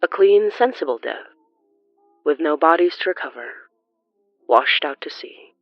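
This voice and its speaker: Gothic horror story narrator, measured n theatrical, dry slightly muffled sound, faint music. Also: medium pitch, animated, no room sound.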